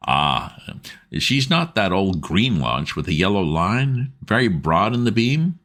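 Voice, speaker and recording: A man voicing a keen, composed gentleman detective who speaks in a measured, coaxing way. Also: low-pitched, big pitch swings, pitched above the performer's own voice, heavily acted.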